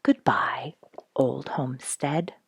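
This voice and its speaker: A woman's voice: extremely low pitch, takes it slowly, creaky, husky, no room sound, full character voice. A woman voicing a motherly female mallard duck in a storybook, quacking and saying farewell. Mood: wistful hush. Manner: loud quacking, then hushed farewell.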